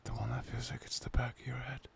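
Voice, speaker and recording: A man playing a soft, loving boyfriend in an intimate ASMR roleplay, half-whispering breathily and soothingly up close to the mic. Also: mid-range voice, flat delivery, vocal fry, a little hiss or hum. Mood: tender, confessional. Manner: slow, pausing, soothing. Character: soft, playful, loving boyfriend, tender and reassuring. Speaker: audio roleplay performer. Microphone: close microphone.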